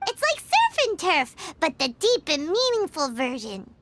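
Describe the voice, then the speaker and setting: A woman's voice: extremely high pitch, wildly swooping pitch, measured pace, background noise, exaggerated voice. A woman voicing a spirited, eager teenage girl chef in a video game, bubbly and often thinking aloud to herself. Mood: thoughtful. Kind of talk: animated game character acting.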